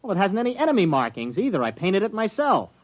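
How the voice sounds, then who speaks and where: Male crewman for classic radio sci-fi: casual, handy, easygoing, swooping lively intonation. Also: high voice, quick pace, dull audio, heavily acted. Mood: bewildered, protesting. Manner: casual, folksy, expressive. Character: easygoing, practical male spaceship crewman and tinkerer. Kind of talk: old-time radio drama acting.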